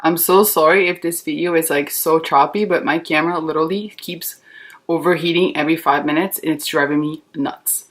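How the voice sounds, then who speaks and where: A woman's voice: low-pitched, steady tone, a little fast. A woman in her thirties from Brazil, a Portuguese speaker, chatting in a candid, reassuring, informal way like a beauty vlogger. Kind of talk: casual chatty makeup tutorial.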